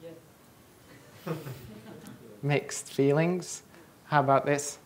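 A man's voice: on the high side, steady tone, very slow, a little mellow, a little hiss or hum. A young man from the Czech Republic, a native Czech speaker, giving a conference talk in a lecture hall and quizzing the audience. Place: large lecture hall, on stage.

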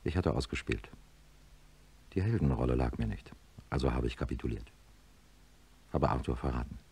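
An actor playing a principled, educated neurosurgeon in a German radio play, emphatic and argumentative. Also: male voice, very deep voice, expressive, normal speed, warm tone, slightly rough, full character voice. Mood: resigned. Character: defiant male neurosurgeon professor, indignant, principled, also narrating wearily. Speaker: lead character and narrator.

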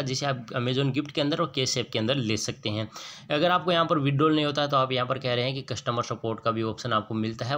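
Man narrating an app screen recording. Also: mid-range voice. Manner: repetitive filler-heavy explaining, steady. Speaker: app reviewer.

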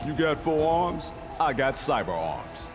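A blunt, defiant veteran soldier with a lively mid-pitched delivery. It is slangy video game dialogue under loud music. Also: male voice, measured pace. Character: gruff, defiant male veteran soldier with cyber arms.

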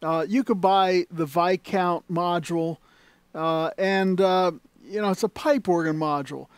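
A man's voice: high-pitched, fairly even intonation, measured pace, smooth, dry recording. A man demonstrating an organ in a shop, talking casually with many fillers and restarts. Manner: rambling, full of fillers and restarts. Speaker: organ dealer demonstrating an instrument. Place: indoors at an organ console.

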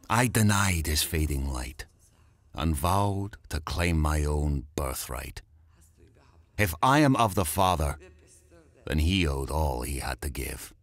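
An actor playing a tormented male mad scientist, delivering a solemn, theatrical rhyming monologue in a gothic horror audio drama. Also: low-pitched. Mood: defiant, obsessed. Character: tormented, brooding male mad scientist confessing his blasphemy.

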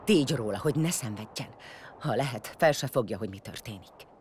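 A woman acting a low-voiced, hard-bitten warrior leader. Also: animated, darkish tone, slight background noise. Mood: grave. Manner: blunt, commanding. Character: hard-bitten female warrior leader, vengeful, commanding, foul-mouthed. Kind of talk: audio drama acting.

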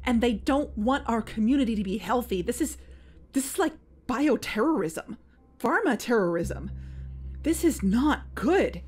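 A fairly high-voiced woman investigator played by an actress in a fiction podcast. She is driven and anxious, and speaks with earnest urgency. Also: lively intonation, unhurried, breathy edge, soft background music. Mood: alarmed, urgent. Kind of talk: fiction podcast audio drama acting.

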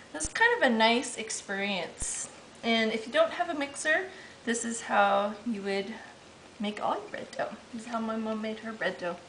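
A middle-aged woman chats her way through a home recipe in a roomy kitchen, her voice bouncing off hard surfaces. She sounds easygoing and homey and fills pauses with "um" as she works. A steady hiss of background noise runs under the recording. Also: a little high. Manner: chatty and homey, thinking aloud with fillers while working dough. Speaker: home cooking host. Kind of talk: casual cooking demonstration. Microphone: camera mic, a few steps away.

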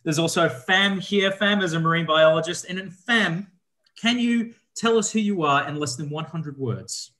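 A young man hosting an online event as an educator, upbeat and clear. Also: fairly high pitch, moderate pace, sharp tone. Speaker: educator and host. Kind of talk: webinar hosting. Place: room on video call. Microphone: video call audio.

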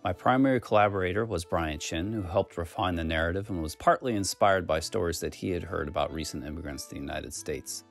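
A man's voice: sits low, brisk, creaky, hardly any pauses, soft background music. A man reading a prepared statement about a collaborative art project. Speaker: exhibiting artist.

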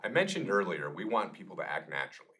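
A man's voice: deep voice, big pitch swings, fast, sharp tone. A middle-aged man giving a scripted product presentation to camera in a small meeting room. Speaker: product manager presenting a demonstration.

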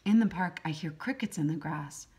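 A woman reading a children's picture book aloud as a teacher, in simple, deliberate short phrases. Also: deep voice, darkish tone. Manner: acts out sound effects. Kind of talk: children's storybook read-aloud.

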